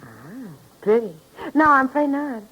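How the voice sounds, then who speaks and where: Breathy, flirtatious radio receptionist. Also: female voice, high-pitched, animated, measured pace, fairly bright tone, full character voice, rough recording. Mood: coy, flirty. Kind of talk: 1950s radio drama acting.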